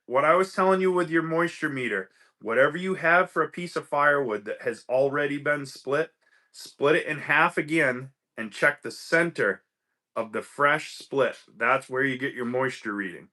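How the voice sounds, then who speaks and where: A man's voice: fairly high pitch, normal speed, sharp tone. A man hosting a casual livestream, greeting viewers by name, a little stuffy from a cold. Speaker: livestream host.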